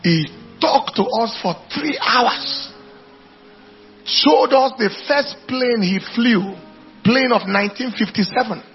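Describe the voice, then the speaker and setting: A man's voice: very high-pitched, takes it slowly, muffled recording, soft background music. A man with a Nigerian accent preaching and telling anecdotes, driving points home with emphatic repetition. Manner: emphatic repetition, declaiming to congregation. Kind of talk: animated sermon with anecdotes.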